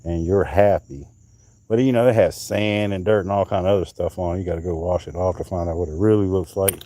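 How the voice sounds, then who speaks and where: A man's voice: low voice, a little fast, dark tone. A man hosting a live stream, chatting casually and rambling as he shows pieces of coral, often trailing off mid-sentence. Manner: handling and showing coral pieces.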